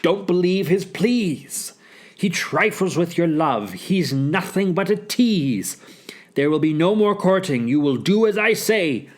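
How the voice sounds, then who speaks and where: A man's voice: high-pitched, expressive, a little slow, voice pushed up. A man voicing a stern, scornful, controlling father in a storytelling performance, scolding with emphasis. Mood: scornful, commanding.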